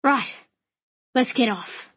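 A woman voicing a rough, cheery young coachman in an audiobook, blunt, colloquial and fatherly. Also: fairly high pitch, slow pace, a bit bright, exaggerated voice. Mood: brisk, teasing.

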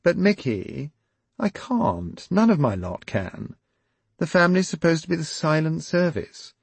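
A man's voice: fairly high pitch, very animated, unhurried, creaky, breathy edge, dry recording, pitched above the performer's own voice, exaggerated voice. A man doing an affected princess. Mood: pleading. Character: haughty royal princess, woman, pampered.

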